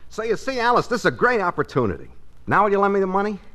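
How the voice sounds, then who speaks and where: An actor playing a brash, pushy, wheedling freeloader friend in 1940s radio comedy, indignant in manner. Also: male voice, high-pitched, huge pitch swings, rapid-fire, bright, forceful tone, heavily acted. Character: brash, fast-talking, wheedling freeloader pal.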